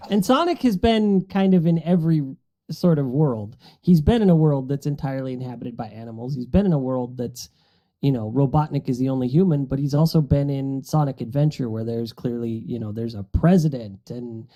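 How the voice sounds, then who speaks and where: A man's voice: medium pitch, a little fast, mellow. A man on a podcast panel, explaining things in a casual, conversational way.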